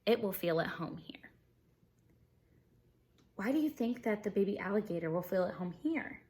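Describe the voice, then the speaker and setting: A woman's voice: medium pitch, expressive, normal speed, darkish tone. A woman teacher reading a children's picture book aloud expressively, explaining things simply and putting questions to her young listeners.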